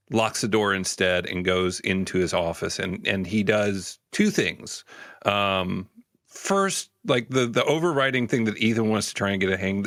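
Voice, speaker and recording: Male tabletop player, slightly low pitch, dry close recording, casual improvised third-person narration. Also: fairly bright tone, no echo.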